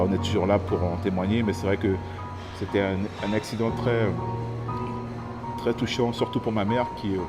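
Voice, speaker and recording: A man in his thirties from French Guiana, telling his own story haltingly, with many fillers and restarts. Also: sits low. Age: 30s. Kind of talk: personal testimony to camera. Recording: music playing under the voice.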